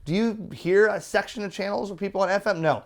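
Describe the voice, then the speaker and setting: Chatty middle-aged man, slightly high voice. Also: quick pace. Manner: chatty, opinionated, colloquial. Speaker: radio reviewer. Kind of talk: casual product review.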